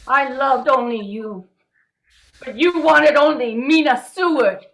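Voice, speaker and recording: A vengeful, scorned vampire bride played by a woman in old-time radio style. The voice is high and the lines come very slowly, with dramatic deliberation. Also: a bit bright, heavily acted. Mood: vengeful, bitter.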